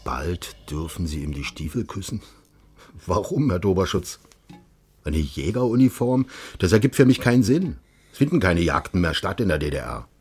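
An actor playing a secretive former secret police official in a radio crime drama, formal and measured, persuasive with quiet menace. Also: male voice, low-pitched, sing-song, soft background music. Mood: measured, probing. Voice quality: husky.

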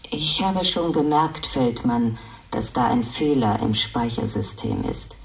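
An earnest, kindly talking machine in a German radio drama. The delivery is slow and careful, with slips from a faulty memory. The voice sits slightly away from the microphone. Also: female voice, rumbling low, animated, vocal fry, far from the mic, heavily acted, poor audio. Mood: gentle, earnest. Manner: slow, garbles words and corrects itself.

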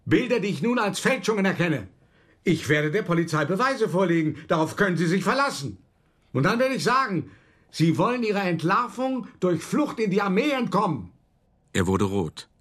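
Wry, self-important older art dealer, lightish voice. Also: male voice, medium pitch, a bit bright, no room sound. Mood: sharp, threatening. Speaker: narrator of a radio play. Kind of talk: theatrical first-person monologue, storytelling.

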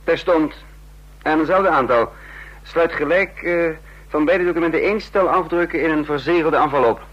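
Husky, commanding intelligence chief. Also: male voice, on the high side, normal speed, a bit bright, highs cut off. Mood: brisk, commanding. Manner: curt, commanding. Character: brisk, scheming male intelligence chief. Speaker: radio drama lead and narrator. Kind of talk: Dutch radio play acting, dialogue and narration.

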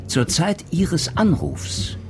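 An actor playing a methodical retired police detective in a crime radio play, deliberate and self-assured, reasoning through rhetorical questions. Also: male voice, a little high, wildly swooping pitch, music in the background, heavily acted. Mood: probing, methodical. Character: retired male police detective, methodical, probing, theatrically sure of himself.

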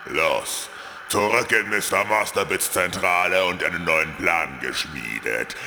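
An actor playing a gloating computer virus gang leader in a children's audio drama, gravelly and taunting. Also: male voice, deep voice, unhurried, sharp tone, heavily acted. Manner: taunting, drawling.

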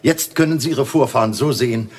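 An actor playing a dutiful, deferential picture restorer's son in a radio drama. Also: male voice, medium pitch, brisk, darkish tone, lightly acted. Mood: proud, deferential. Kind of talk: scripted German radio drama acting.

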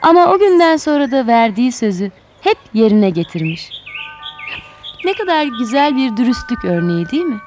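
Animated, high-pitched woman storyteller. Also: fairly quick, soft background music. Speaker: children's storyteller. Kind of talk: expressive scripted fairy-tale narration.

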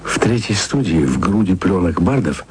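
An actor playing a wistful radio archivist who speaks softly and intimately to his beloved in a radio play. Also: lively intonation, normal speed, a little hiss or hum. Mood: tender. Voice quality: low, gravelly voice. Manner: soft, intimate, sometimes whispered. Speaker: radio man speaking to his distant lover.

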